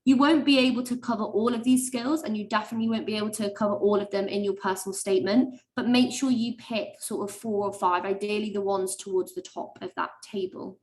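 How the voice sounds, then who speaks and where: A young woman, a medical student, giving chatty webinar advice with lots of ums and likes and a high-pitched voice. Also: fairly even intonation, a little fast, hardly any pauses, darkish tone. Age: young adult. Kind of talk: webinar talk.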